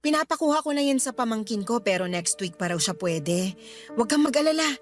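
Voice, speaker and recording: A woman's voice: fairly high pitch, lively intonation, a little fast, faint music behind, lightly acted. A woman playing a long-suffering middle-aged girlfriend in a Tagalog radio drama, answering with dry retorts. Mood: restrained, apologetic.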